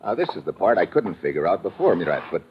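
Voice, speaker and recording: Shrewd gumshoe, low husky voice, lively intonation, blunt colloquial talk, old radio drama. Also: male voice, fast talker, background noise. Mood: explaining, confident. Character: shrewd, hard-boiled male detective, plain-spoken. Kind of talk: old-time radio detective drama acting. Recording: dull lo-fi old radio recording.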